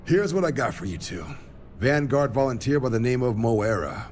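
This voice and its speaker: An actor playing an easygoing, wry military recruiter officer in a video game, talking in a casual, colloquial way. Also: male voice, mid-range voice, lively intonation, normal speed, darkish tone, slight background noise, natural voice. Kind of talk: video game cutscene acting.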